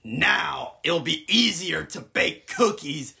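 A man's voice: a little high, expressive, takes it slowly, sharp tone, heavily acted. A man voicing a bumbling, greedy mad scientist villain in a comedic game fan dub, strained, blustering and easily confused. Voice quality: gravelly, strained. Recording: game music behind.

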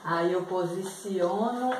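A woman's voice: fairly low pitch, slow. A middle-aged Brazilian woman giving a casual, informal how-to demonstration, explaining step by step as she works in a home kitchen. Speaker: vlog host demonstrating DIY. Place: small tiled home kitchen. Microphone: camera mic at a distance. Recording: echoey, distant, faint room noise.

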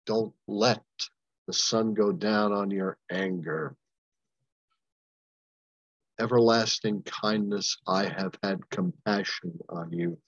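An older man, a pastor leading a Bible study over a video call, preaching with emphatic, impassioned delivery and long pauses. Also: fairly low pitch, no room sound. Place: home room, indoors. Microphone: webcam on a video call. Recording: dull lo-fi webcam audio, dry.

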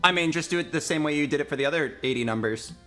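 A man's voice: a little high, very fast, fairly bright tone, faint music behind. A man in his twenties chatting casually as a streamer while he directs a recording session. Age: 20s. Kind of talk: casual chat, giving directions. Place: indoor streaming room.

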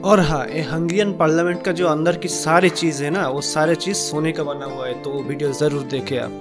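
A man's voice: fairly high pitch, steady tone, a little fast, over music. A man giving casual narration for a travel vlog as its host.

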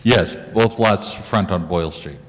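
Halting older man in echoey chamber. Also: deep voice. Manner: halting, many fillers and restarts. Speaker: attorney presenting to a planning board. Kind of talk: explaining a lot plan at a public meeting. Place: council chamber with wood paneling. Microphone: handheld mic, walking around. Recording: echoey chamber with light noise.

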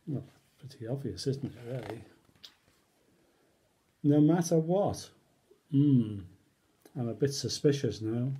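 Mellow man reading aloud, very animated. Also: mid-range voice. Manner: theatrical, mock-reluctant asides and exaggerated expression. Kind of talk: animated read-aloud of a comic children's story.

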